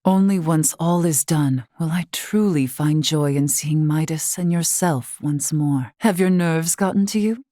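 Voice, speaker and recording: A woman voicing a solemn, dutiful warrior stateswoman in a video game, speaking in formal, measured, old-fashioned phrasing. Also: sits low, dark tone, dry recording, studio quality. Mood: grave, resolute, then firm, encouraging. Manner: formal, measured, archaic diction. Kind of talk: scripted video game character dialogue.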